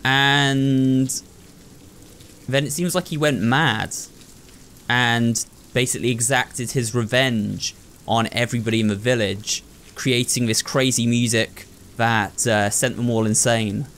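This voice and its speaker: A man's voice: medium pitch, steady tone, slow, fairly bright tone, slight background noise. A man hosting a video game playthrough, thinking aloud and talking to viewers in a running commentary. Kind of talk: excitable gameplay commentary.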